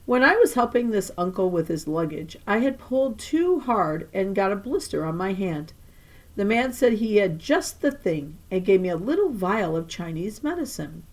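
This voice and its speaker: A woman reading a children's novel aloud like a teacher to her class, with animated storytelling and emphatic phrasing. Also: mid-range voice, normal speed. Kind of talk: expressive children's novel narration.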